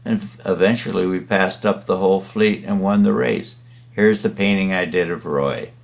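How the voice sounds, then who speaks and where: Older guy rambling through sailing stories, deep voice, gravelly. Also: fairly even intonation, normal speed. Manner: rambling, with fillers and restarts. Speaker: painter and sailor narrating a slideshow. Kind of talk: casual reminiscing narration.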